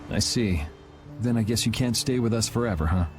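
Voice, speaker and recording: A man playing a calm, blunt young vigilante swordsman in a video game, laid-back and matter-of-fact. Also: lowish voice, brisk, soft background music, lightly acted. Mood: relaxed.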